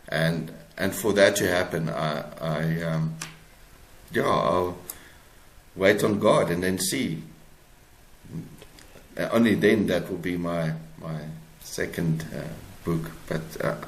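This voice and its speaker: A man with a South African accent talks casually as an interview guest. His voice sits low and his delivery is hesitant and halting. The sound is noticeably echoey. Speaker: author being interviewed.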